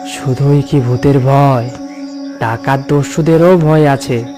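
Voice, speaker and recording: A man's voice: mid-range voice, slow, music in the background, close to an everyday voice. A man playing a concerned villager in a cartoon ghost story, politely giving ominous warnings. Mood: cautious, foreboding. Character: cautious, concerned male villager warning a traveller.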